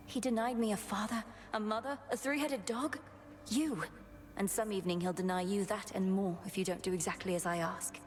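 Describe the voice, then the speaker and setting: A woman playing an earnest, determined young underworld princess and witch in a game, speaking formally and with measured care. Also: mid-range voice, steady tone, a little hiss or hum, lightly acted. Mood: resolute, bitter. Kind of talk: scripted game acting.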